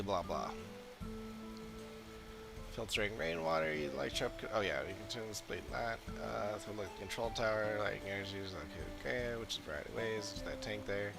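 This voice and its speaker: A man's voice: on the low side, normal speed, gravelly, loud music behind the voice. A man streaming a video game, muttering casually and thinking aloud as he plays. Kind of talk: casual play-along commentary.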